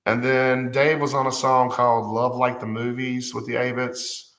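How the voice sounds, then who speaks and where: A man's voice: mid-range voice, little pitch movement, normal speed, fairly bright tone, reverberant. A man in his forties, a guest musician, talking casually and hesitantly with many fillers and restarts. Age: 40s. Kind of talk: casual conversation.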